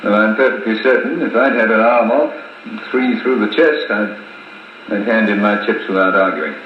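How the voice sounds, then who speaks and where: An actor playing a dry, gruff colonel with a clipped, understated manner in a 1950s radio drama. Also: male voice, on the low side, normal speed, lightly acted. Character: dry, gruff British colonel, reserved old-school officer. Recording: muffled, echoey 1950s wire recording with hiss.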